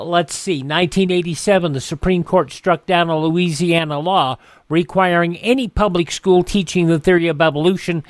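A man's voice: sits high, dry recording. An American man hosting a radio program and reading items in an announcer-like way, with some hesitations. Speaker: radio show host.

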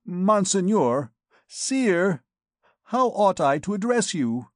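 A man's voice: high voice, sing-song, slow, no room sound, clean studio sound, voice pushed up, heavily acted. A man playing a stammering, timid young poet. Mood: pleading. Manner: hesitant, pleading.

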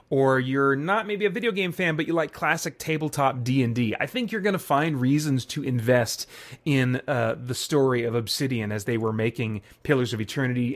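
Male podcast host, medium pitch. Casual, self-interrupting delivery that drifts between script and off-the-cuff asides. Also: no echo. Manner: rambling, self-interrupting, thinking aloud.

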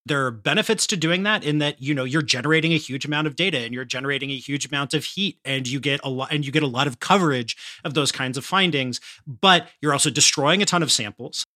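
A man's voice: mid-range voice, quick pace, few pauses, pushed and bright, no room sound, studio quality. A man hosting an interview podcast, talking conversationally in an emphatic, articulate, explanatory manner. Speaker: podcast host.

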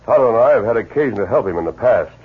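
An actor playing a calm, commanding masked western hero in a 1950s radio drama, with a husky voice. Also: male voice, on the low side, very fast. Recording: lo-fi old radio recording.

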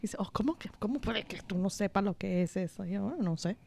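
A woman's voice: mid-range voice, expressive, brisk, vocal fry, darkish tone. A woman with a Dominican Spanish accent, chatting informally as a podcast co-host. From Dominican heritage. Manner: informal, frequently laughing. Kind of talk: casual conversational chat.

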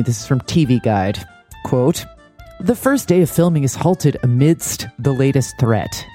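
Female podcast host, dark mellow tone, sardonic and joking, casual recap chat and announcements. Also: rumbling low.

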